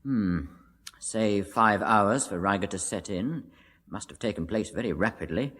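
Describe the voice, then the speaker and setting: Brisk English doctor, animated. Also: male voice, on the low side. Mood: matter-of-fact. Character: brisk, unruffled club doctor, matter-of-fact gentleman. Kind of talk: radio drama acting. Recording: reverberant hall-like room.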